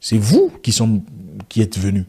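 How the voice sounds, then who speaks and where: A man's voice: lowish voice, huge pitch swings, measured pace, mellow, slightly rough. A man whose first language is an African language, giving an impassioned monologue to camera with rhetorical questions and frequent restarts. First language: not French; an African language. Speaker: online video commentator.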